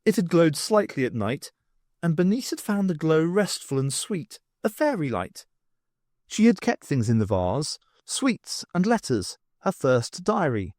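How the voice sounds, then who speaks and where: A man reading a novel aloud as an expressive audiobook narrator, in an animated storytelling manner. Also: a little high, measured pace, no room sound, studio quality. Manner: animated storytelling with acted dialogue.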